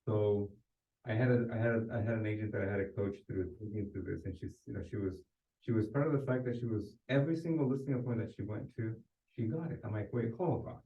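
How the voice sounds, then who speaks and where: A man's voice: lowish voice, fast talker. A man telling anecdotes in a group coaching discussion, conversational, restarting his sentences and often checking for agreement. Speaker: real estate sales coach. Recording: distant, echoey, thin phone-like sound.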